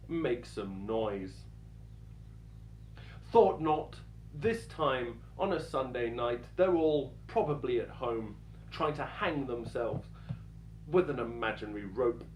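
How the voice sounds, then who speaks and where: A man performing a stand-up routine as a dramatic reading, deadpan and self-deprecating, with deliberate pauses. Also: mid-range voice. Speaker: stand-up comedian. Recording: roomy echo with faint background noise.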